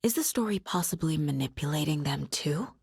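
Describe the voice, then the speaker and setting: A driven, analytical female investigator in a dramatic video game. Her voice is low and husky, with terse, measured phrasing, as if reasoning through a case. The recording is very dry, with no room around the voice. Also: expressive, a little mellow, dry recording, full character voice. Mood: focused, pensive. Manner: measured, terse, pensive deduction. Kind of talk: dramatic game dialogue, introspective investigation lines.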